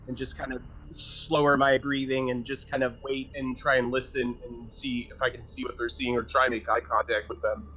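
A man with a bright, mid-range voice talks as a player at a tabletop game. He speaks casually, working out his moves aloud and a little tentatively. The recording is slightly dull and lo-fi. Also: mid-range voice.

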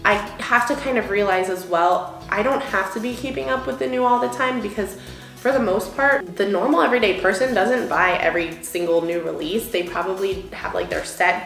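Chatty young woman in an echoey room. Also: mid-range voice. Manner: chatty, filler-heavy, musing about worries. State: a little sniffly. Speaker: beauty vlogger. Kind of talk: casual get-ready-with-me chat. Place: indoor room with couch.

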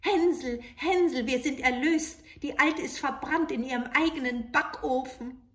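A woman's voice: high voice, measured pace, fairly bright tone, echoey room, voice pushed up. A woman voicing a plucky, cunning young girl in a fairy tale, earnest and pleading, given to exclaiming. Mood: excited.

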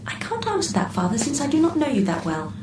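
A poised, playfully sly female artificial intelligence in a radio play. She speaks in formal, exact, measured phrases. The sound is roomy and a little distant, with clear noise behind her. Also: high-pitched, huge pitch swings. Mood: precise, detached. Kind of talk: radio drama acting.

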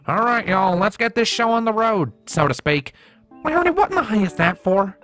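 A man's voice: high-pitched, animated, moderate pace, a bit bright, soft background music, voice pushed up. A man voicing a bossy, practical female farm pony with a folksy country drawl.

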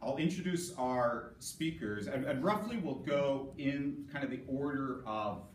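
A man's voice: mid-range voice, lively intonation, a little slow. A middle-aged man presiding over a scholarly session, giving an informal, hesitant introduction with many self-corrections. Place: conference session room, recorded from a distance. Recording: echoey room, distant mic, loud background noise.

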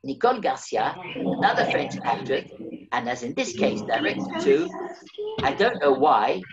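A man's voice: mid-range voice, big pitch swings, moderate pace, highs cut off, a crowd in the background. A man, reading his own memoir aloud over a video call with dry, wry humor. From England. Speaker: memoir reader.

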